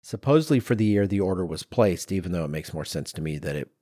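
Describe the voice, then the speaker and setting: A middle-aged man with a fairly low voice talks as a podcast co-host. He explains what he has researched in an informal, conversational way, with personal asides. The sound is close and dry, with no room on it. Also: lively intonation, quick pace, dark tone, no room sound.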